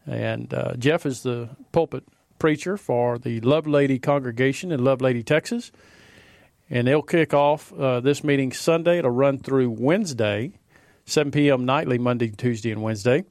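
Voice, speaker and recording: A man co-hosting a morning religious radio show, giving event announcements in a steady, measured way. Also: medium pitch, creaky, dry recording, studio quality. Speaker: radio co-host. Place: radio studio.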